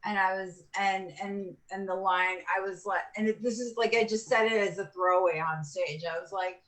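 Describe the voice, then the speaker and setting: Guest comic: woman, Farsi native speaker, bright edgy tone, casual and filler-heavy. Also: mid-range voice, steady tone, moderate pace. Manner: loose, filler-heavy storytelling. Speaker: comedian guest. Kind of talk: casual chat.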